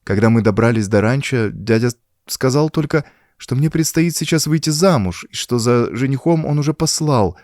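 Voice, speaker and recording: A man voicing a frightened, bitter young woman in an audiobook, speaking in a plaintive, soft, emotional way. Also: medium pitch, lively intonation, moderate pace, no room sound, studio quality, pitched above the performer's own voice, lightly acted. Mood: anxious, confiding. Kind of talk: audiobook character dialogue.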